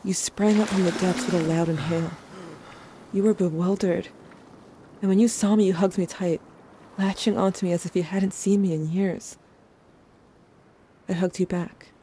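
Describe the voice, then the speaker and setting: Soft-toned, protective young narrator. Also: male voice, high-pitched, slight background noise. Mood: urgent, relieved. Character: grieving, protective young person recounting events to a friend. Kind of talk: dramatic second-person audio drama narration.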